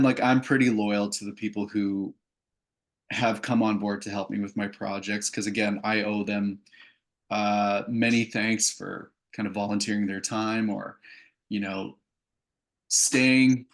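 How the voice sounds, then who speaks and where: Canadian guy with a fairly low voice, chatting in an interview, kinda thoughtful and earnest. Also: little pitch movement, measured pace. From Calgary, Canada. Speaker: filmmaker and actor, interview guest. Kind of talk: casual interview answers.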